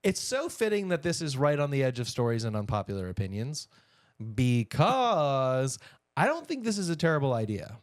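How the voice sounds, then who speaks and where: A man's voice: mid-range voice, very animated, moderate pace, dry recording, clean studio sound. An American man co-hosting a podcast, chatting casually and giving wry, opinionated asides on entertainment news. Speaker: podcast co-host.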